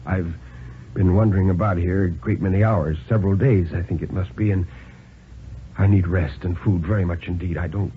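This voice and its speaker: Man playing a low, faltering, desperate banker. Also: steady tone, natural voice. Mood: weary, faltering. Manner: halting, faltering, pleading. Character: desperate, exhausted, formal-spoken male banker on the run. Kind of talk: 1950s radio dramatic reading. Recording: lo-fi 1950s radio, hissy.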